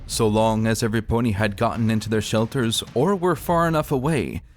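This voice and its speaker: Low-voiced man narrating a dramatic tale. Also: brisk, soft background music. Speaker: narrator of a fan fiction audio reading. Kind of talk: dramatic scripted storybook narration.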